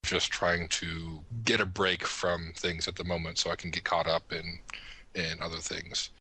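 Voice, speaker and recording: Deep-voiced, husky man chatting. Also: level tone, measured pace, bright, forceful tone, low-quality recording. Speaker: podcast panelist. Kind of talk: casual chat.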